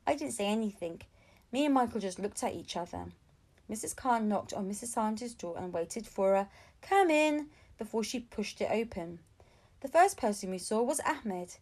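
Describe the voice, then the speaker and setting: A woman with a fairly high voice reads a children's story aloud. She narrates as an audiobook reader, with expressive storytelling. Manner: expressive storytelling with acted-out dialogue.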